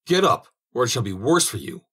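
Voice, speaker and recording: A man's voice: medium pitch, big pitch swings, a little fast, a bit bright, breathy edge, pitched above the performer's own voice. A man voicing a cunning, greedy former soldier who blusters and wheedles, in an audiobook reading. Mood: angry.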